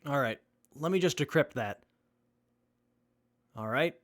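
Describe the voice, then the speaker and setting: A man plays a young male tech whiz in a wasteland radio play. He is earnest and methodical, speaking in a mid-range voice with measured, careful delivery. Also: medium pitch, animated, background noise, close to an everyday voice. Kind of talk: post-apocalyptic audio drama acting.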